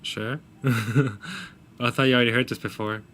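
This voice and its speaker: A man speaking casually and haltingly as game master in a tabletop roleplaying session, in a low-key manner. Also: pushed and bright. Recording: faint background noise.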